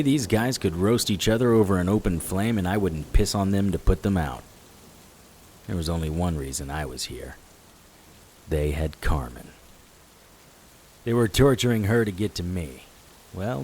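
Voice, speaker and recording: An actor playing a hard-boiled investigator, narrating a noir audio drama in a dry, wry, tough and vengeful way. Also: male voice, low-pitched, a little mellow. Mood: grim resolve. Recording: hiss with rain sounds behind. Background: rain.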